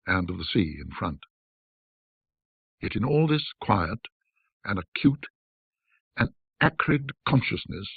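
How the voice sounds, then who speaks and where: Guy reading an old ghost story, raspy voice, formal n measured, echoey room sound. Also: sits low. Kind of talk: scripted literary narration, classic ghost story reading. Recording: muffled band-limited audio, roomy echo.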